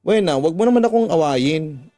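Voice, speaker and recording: A man's voice: fairly high pitch, lively intonation, normal speed, warm tone, no room sound, close to an everyday voice. A guilty young woman acted out by a male narrator speaks defensively and pleadingly in a radio drama. The sound is dry, with music playing behind. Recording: background music under the voice.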